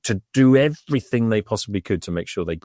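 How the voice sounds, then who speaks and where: A man's voice: fairly low pitch, lively intonation, fast talker, a little mellow, no echo, clean studio sound. A man, an Egyptologist co-host, explaining thoughtfully over an internet call with frequent fillers and restarts. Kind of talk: casual explanatory podcast chat. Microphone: remote over the internet.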